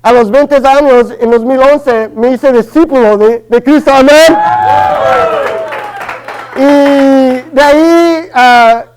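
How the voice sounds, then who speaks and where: A man's voice: very high-pitched, slow pace, very noisy. A man in his early thirties from Los Angeles with a Chicano accent, preaching a sermon in a hesitant way, with many fillers and restarts. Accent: Chicano Spanish. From Los Angeles, family from Guatemala. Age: early 30s. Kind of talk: Spanish-language sermon.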